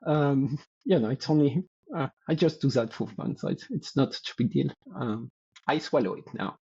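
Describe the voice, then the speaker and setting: A man around sixty with a French accent, a guest in a casual interview, talking in a halting way and searching for words. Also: mid-range voice, gravelly, mellow, slightly rough, lo-fi sound. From France. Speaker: guest athlete.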